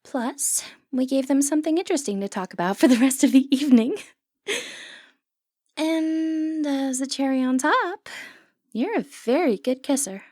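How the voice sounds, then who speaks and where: Woman acting a flirtatious high-voiced lady thief. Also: animated, normal speed, darkish tone, no echo, studio quality. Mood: amused, teasing. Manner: playful, teasing, genteel old-fashioned phrasing. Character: flirtatious, confident lady thief of noble upbringing. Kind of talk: ASMR fantasy romance roleplay acting. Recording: background music under voice.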